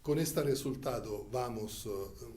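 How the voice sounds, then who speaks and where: A man who learned Spanish as a second language recounts his years as a Church diplomat in an interview, speaking deliberately with frequent pauses. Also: medium pitch, warm tone, a little hiss or hum. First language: not Spanish.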